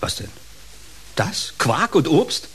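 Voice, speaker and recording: An actor playing a self-pitying, bossy middle-aged uncle in a naturalistic radio drama, querulous and weary. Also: male voice, on the high side, very animated, slow pace, a bit bright, breathy edge, heavily acted. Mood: amused grumbling. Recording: hissy radio play recording.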